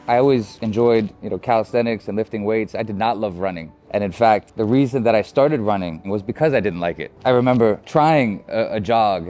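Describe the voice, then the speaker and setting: Calm low-voiced American man. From United States. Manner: measured, thoughtful, frequent "you know" fillers. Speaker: interviewee. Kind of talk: reflective documentary interview.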